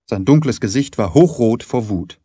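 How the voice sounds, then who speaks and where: Male narrator, literary audiobook reading, expressive pitch movement, dry studio sound. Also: moderate pace, breathy edge, no room sound.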